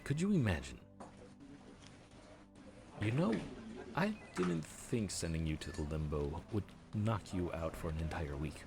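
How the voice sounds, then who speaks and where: A man plays a grim reaper who runs an underworld office like a tired bookkeeper, chatty and a little awkward. His voice sits low but swoops widely up and down as he banters, with soft music behind him in this audio roleplay. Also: vocal fry, exaggerated voice. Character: friendly, flustered male grim reaper supervisor. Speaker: voice actor.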